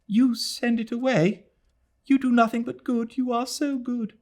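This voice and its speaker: Male reader as young English lady, very high pitch, anxious, earnest, pleading, audiobook. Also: fairly even intonation, a little slow, darkish tone. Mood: gentle, pleading.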